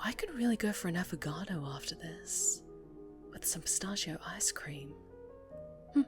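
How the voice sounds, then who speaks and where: Woman acting a slow, low, menacing mafia boss. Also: darkish tone. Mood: sadistic amusement. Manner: teasing, menacing, often dropping into breathy whispers. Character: sadistic, seductive female mafia boss interrogating a captive. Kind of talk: ASMR roleplay acting.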